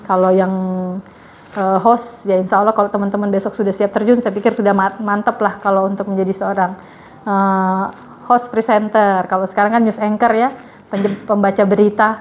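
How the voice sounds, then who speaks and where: A woman's voice: mid-range voice, darkish tone, muffled recording, a little hiss or hum. A woman coaching trainees to read the news, in a casual, chatty way with many fillers and restarts.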